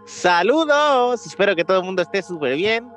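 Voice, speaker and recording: Fairly high-voiced man co-hosting. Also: very animated, normal speed, fairly bright tone. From Puerto Rico. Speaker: podcast co-host. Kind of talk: casual chat. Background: faint music.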